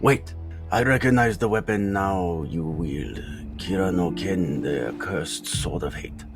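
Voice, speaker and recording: A man playing an old mutant rat ninja master and father in a motion comic fan dub, speaking formally with measured, solemn authority. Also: deep voice, soft background music. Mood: solemn warning.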